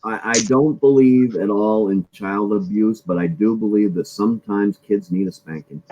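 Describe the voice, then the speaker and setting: Lower-voiced blunt man chatting. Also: normal speed, muffled recording. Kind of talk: casual group chat.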